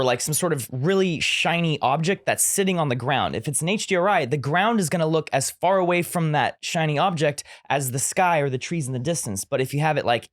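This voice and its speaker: A man's voice: mid-range voice, no echo, clean studio sound. A man co-hosting a casual podcast chat, explaining technical ideas at length with frequent restarts. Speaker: podcast co-host.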